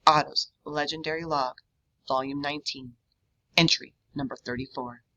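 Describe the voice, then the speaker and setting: Woman reading as a bright, earnest boy detective. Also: low voice, steady tone, moderate pace. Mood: earnest deduction. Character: curious, analytical young boy detective. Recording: dull, lo-fi sound.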